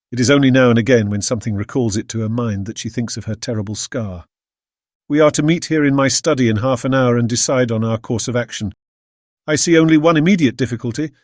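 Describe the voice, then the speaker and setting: Male actor as Victorian gentleman doctor. Medium pitch, formal, reflective diary narration, very dry studio recording. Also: dry recording, close to an everyday voice. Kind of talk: scripted dramatic diary narration.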